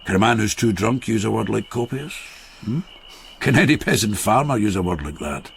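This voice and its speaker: Widowed farmer, very deep voice, gravelly, rambling, faint hiss. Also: male voice, lively intonation, moderate pace. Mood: reflective. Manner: jovial, rambling, slightly tipsy. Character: grieving widowed peasant farmer, boisterous, fond of drink. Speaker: Takeshi, a farmer friend. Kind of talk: radio drama acting.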